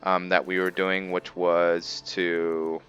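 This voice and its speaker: A man's voice: deep voice, little pitch movement, takes it slowly, a bit bright, lo-fi sound, faint music behind. A man casually commenting while he plays a video game, rambling and thinking aloud with many ums. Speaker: gaming video creator. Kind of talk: casual let's-play commentary.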